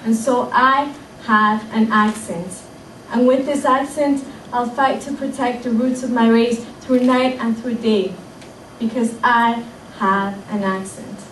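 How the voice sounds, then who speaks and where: A woman's voice: fairly high pitch, steady tone, slow, slight background noise. A woman performing spoken word poetry in a rhythmic, dramatic way at a community event. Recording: echoey hall, mic far off.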